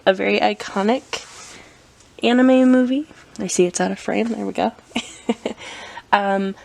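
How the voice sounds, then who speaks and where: Woman with a cold, gravelly voice. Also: mid-range voice, slight background noise. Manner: chatty, with frequent ums and self-corrections. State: has a cold. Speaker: collector showing her anime collection. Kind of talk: casual show-and-tell vlog.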